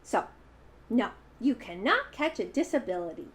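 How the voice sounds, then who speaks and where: A woman's voice: a little high, sing-song, normal speed. A woman voicing a cheerful, friendly, childlike bird puppet, chatting earnestly to children at home.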